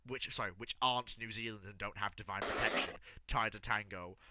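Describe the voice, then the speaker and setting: A man's voice: on the low side, fairly even intonation, fairly quick, very noisy. A man hosting an unscripted history debate, insistent and often repeating his words as he cuts in. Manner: insistent, repeats words and stammers when cutting in. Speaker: host of a live history discussion show. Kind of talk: unscripted animated group debate.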